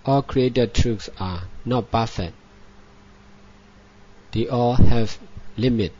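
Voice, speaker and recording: Dull lo-fi recording, male meditation teacher, native Burmese speaker, very slow delivery, short repeated phrases, long pauses. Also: on the low side, warm tone. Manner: measured short phrases with frequent pauses, repetitive. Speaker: Buddhist monk and meditation teacher. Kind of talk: guided meditation, spoken dhamma instruction.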